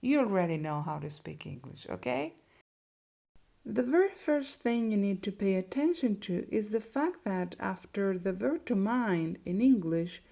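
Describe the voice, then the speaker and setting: Woman, unhurried teaching pace, gravelly fry in the voice, language teacher. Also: medium pitch, mellow. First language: Spanish. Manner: slow and deliberate, checks in with "okay?". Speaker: Spanish teacher. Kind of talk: language lesson.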